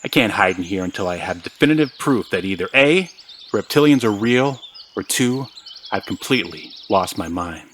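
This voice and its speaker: Actor as an exasperated low-voiced man, down on his luck. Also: animated, normal speed, lightly acted. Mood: weary, doubting. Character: exasperated, down-on-his-luck man, obsessed podcaster hunting lizard conspiracies. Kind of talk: naturalistic audio drama acting.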